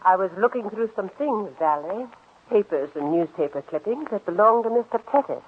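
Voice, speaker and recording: A woman playing a cold, scheming, middle-aged older sister in a 1940s radio drama, speaking in a clipped, measured, commanding way. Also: medium pitch, lively intonation, narrow, tinny audio, a little hiss or hum, natural voice. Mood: probing, controlled. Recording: band-limited old radio recording.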